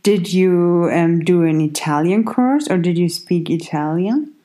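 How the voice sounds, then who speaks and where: A young woman interviewing a guest in relaxed chat, full of likes and honestlys. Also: fairly low pitch, measured pace. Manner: chatty, uses like and honestly a lot. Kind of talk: casual chat.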